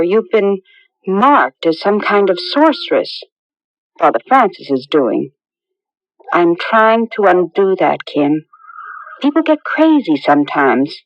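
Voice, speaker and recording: An actress playing a confident, outspoken young bride-to-be in a 1980s radio drama, brisk and skeptical. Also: female voice, mid-range voice, big pitch swings, dry recording. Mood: concerned. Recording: muffled old radio broadcast.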